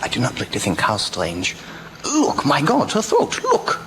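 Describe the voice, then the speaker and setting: An actor playing a grave, urgent old professor in a radio drama, speaking emphatically in halting phrases. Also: male voice, mid-range voice, huge pitch swings. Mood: alarmed. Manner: halting foreign syntax, emphatic. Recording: noisy amateur local radio recording.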